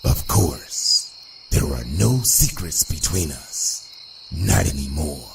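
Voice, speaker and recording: A man's voice: rumbling low, lively intonation, slow, creaky, a little hiss or hum, full character voice. A man voicing a menacing, paternal crime boss villain in a motion comic, grandiose and theatrical. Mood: pleased, sinister.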